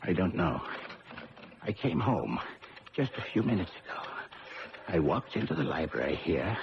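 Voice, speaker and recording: A man playing a shifty, sardonic twin in a 1970s radio drama, with creaky, theatrical delivery on a muffled, noisy recording. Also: sits low, sing-song, moderate pace, full character voice. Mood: shaken. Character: shifty, sardonic male twin, short of money.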